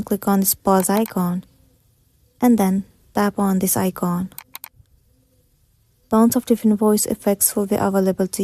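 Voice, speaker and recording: A woman's voice: medium pitch, dark tone, no room sound. A woman giving scripted step-by-step tutorial narration in a methodical, instructional manner.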